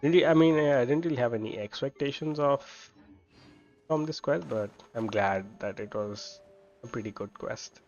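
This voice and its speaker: A man giving casual, low-key live gameplay commentary as a streamer, rambling along as he talks. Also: moderate pace, darkish tone, lo-fi sound. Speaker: game streamer. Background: game music.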